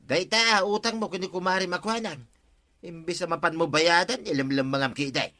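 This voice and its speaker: A man's voice: sits high, normal speed, sharp tone. A man playing a nagging village husband in a comedy audio drama, chiding with an Ilocano accent. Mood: scolding.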